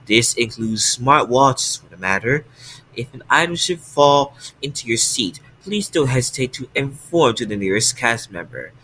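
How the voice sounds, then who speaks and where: A synthetic man as a bright airline cabin announcer. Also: mid-range voice, animated, normal speed, slight background noise, lightly acted, poor audio. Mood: instructive. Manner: stiff synthetic delivery.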